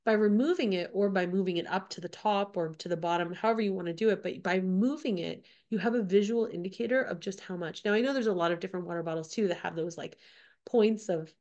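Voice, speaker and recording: A woman's voice: mid-range voice, fast talker, a little mellow, muffled recording. A woman in her forties talking through a casual podcast episode, chatty and repetitive, her voice a little off from a cold. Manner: chatty, repeats points, many "like" and "right?". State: getting over a cold, slightly off voice. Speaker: podcast co-host. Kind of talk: casual solo podcast talk.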